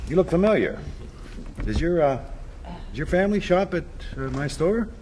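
An actor reading a gruff, blunt middle-aged father who cracks deadpan jokes at a table read. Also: fairly high pitch, moderate pace. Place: meeting room, indoors. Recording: noisy room, table read.

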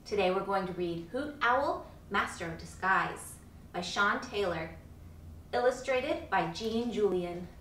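A woman's voice: medium pitch, animated, measured pace, a bit bright. A woman in her thirties hosting a children's storytime, reading aloud expressively with dramatic emphasis. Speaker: storytime host reading a picture book aloud. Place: indoor room before a starry backdrop. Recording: roomy, distant mic, faint background noise.

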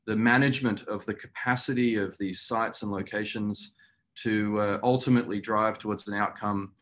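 A middle-aged man answering as a company executive in a webinar interview, speaking earnestly and in a measured, halting way. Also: fairly low pitch, fairly even intonation, fairly bright tone, slight background noise. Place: small indoor room, video call.

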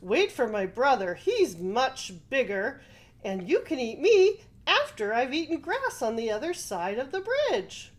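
A woman's voice: high voice, big pitch swings, unhurried, pitched above the performer's own voice. A woman voicing a proud middle-sized billy goat who talks his way past a troll in a storybook puppet show. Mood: pleading. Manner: proud, pleading.

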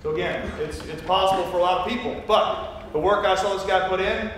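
A man's voice: high voice, fairly even intonation, a little fast, a bit bright, a little hiss or hum. A man, a college football coach, talking proudly and emphatically in a weight room. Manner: proud, emphatic about a former player. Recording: echoey room from far off.

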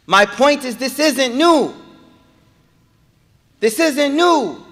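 A man giving a Friday sermon, moving from calm storytelling to loud, emphatic preaching and repeating phrases for emphasis. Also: very high-pitched, extremely slow. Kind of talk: impassioned sermon. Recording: echoey hall reverb.

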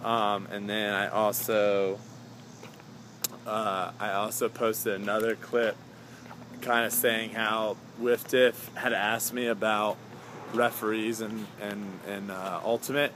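A young man rambling casually to a camera as he goes about errands, chatty, exclamatory and easily sidetracked. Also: fairly low pitch. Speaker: vlogger. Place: on the go, car then grocery store. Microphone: handheld camera he sets down. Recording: clear ambient and vehicle noise.

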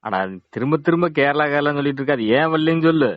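A man's voice: on the high side, fast, no room sound. A man chatting casually with friends as a vlogger. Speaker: vlogger planning videos with his friends. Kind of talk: casual chat. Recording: dull lo-fi recording.

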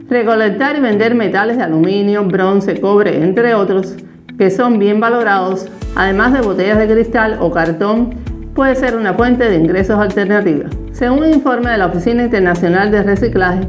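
A woman narrating a scripted explainer as the host of the show. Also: medium pitch. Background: background music.

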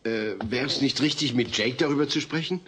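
A man's voice: medium pitch, moderate pace, fairly bright tone, a little hiss or hum, close to an everyday voice. A man playing an earnest, polite, harried father in a sitcom audio drama. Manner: polite, hesitant. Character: earnest, harried, well-meaning family father.

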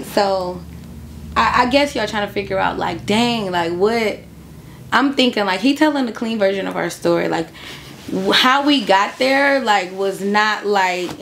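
A woman's voice: mid-range voice, gravelly, a bit bright, slight background noise. A woman in her twenties telling a story casually as an interview guest, emphatic and repeating phrases for effect. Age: 20s.

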